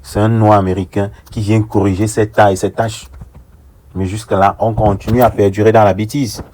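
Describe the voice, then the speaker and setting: Low-voiced young man from Africa. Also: little pitch movement, a little mellow, slight background noise. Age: young adult. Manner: deliberate, rhetorical, repeats phrases for emphasis. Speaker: online commentator. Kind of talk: earnest opinion monologue.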